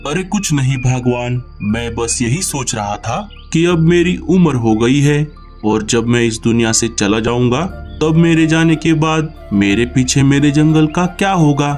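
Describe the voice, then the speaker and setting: A man's voice: mid-range voice, unhurried, darkish tone, soft background music, natural voice. A man voicing an aging, principled bird king in a cartoon story, stern and responsible in manner. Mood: worried. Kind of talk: Hindi cartoon story acting.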